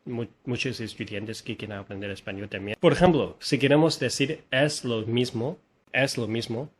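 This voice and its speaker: Relaxed man with a mid-pitched voice. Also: moderate pace, slightly rough. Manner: relaxed, explains with examples and fillers. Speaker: host. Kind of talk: casual conversation about learning languages.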